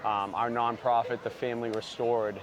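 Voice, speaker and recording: A man's voice: medium pitch, flat delivery, normal speed, noisy background. A man who founded a barber brand, talking earnestly in a sit-down interview and leaning on lots of "you know" fillers. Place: outdoors at a busy trade convention.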